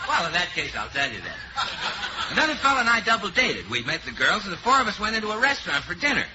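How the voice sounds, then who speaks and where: A man's voice: high-pitched, huge pitch swings, fast. A man as a brash radio announcer in a 1940s radio comedy. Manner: brash, salesy. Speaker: radio announcer and comic actor. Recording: dull old radio recording with clear hiss.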